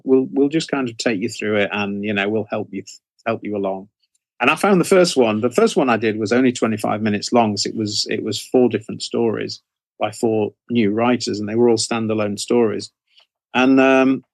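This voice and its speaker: A man's voice: medium pitch, no room sound. A man giving casual interview answers as a guest author, hesitant and self-correcting, often repeating his phrases.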